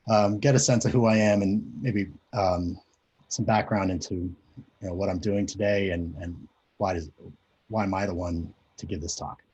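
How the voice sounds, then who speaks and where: A man's voice: sits low, fairly even intonation, normal speed, a little mellow, highs cut off, a little hiss or hum. A man in his forties, raised in Japan, giving a hesitant, conversational presentation over a video call with many fillers. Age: 40s. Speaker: conference speaker. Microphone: video call mic.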